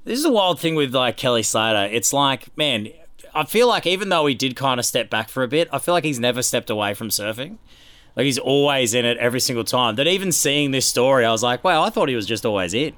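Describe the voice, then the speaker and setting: A man's voice: medium pitch, expressive, quick pace, a bit bright, no echo. An Australian man hosting a podcast in a casual, bantering sports chat style. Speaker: podcast host.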